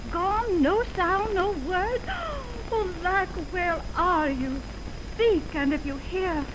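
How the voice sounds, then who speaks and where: Very high-pitched, frightened young heroine, 1920s radio. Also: female voice, takes it slowly. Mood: frightened searching. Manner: pleading, theatrical Shakespearean delivery. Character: Hermia, frightened young woman waking from a nightmare. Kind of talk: 1920s radio drama acting. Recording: noisy 1929 optical film radio recording.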